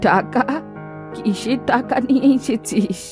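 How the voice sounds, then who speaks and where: A woman's voice: a little high, a little slow, natural voice. A woman voicing a poor, stubborn, money-minded old village woman in a cartoon moral story. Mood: casual. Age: older. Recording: music behind the voice.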